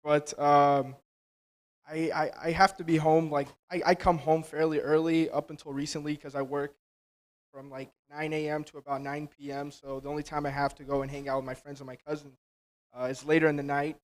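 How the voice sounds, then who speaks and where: A man's voice: on the high side, flat delivery, a little fast. An eighteen-year-old man speaking casually as a panelist, with fillers like "you know what I mean". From Albanian household.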